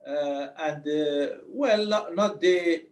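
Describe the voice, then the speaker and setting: A man's voice: a little high, slow. A man interviewing a filmmaker, hesitating often and repeating words as he asks his questions. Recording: roomy, off-mic.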